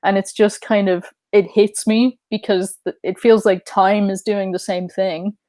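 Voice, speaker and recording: Female podcast co-host, mid pitch, thoughtful rambling, dry close-mic sound. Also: level tone, a little slow, dark tone, no echo. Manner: musing, speculative, with fillers. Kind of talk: casual conspiracy podcast chat.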